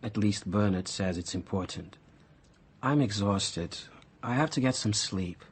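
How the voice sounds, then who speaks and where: A man's voice: fairly low pitch, measured pace, breathy edge. An actor in a radio drama playing a weary, idealistic young male outsider with an earnest, indignant manner. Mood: weary, reluctant.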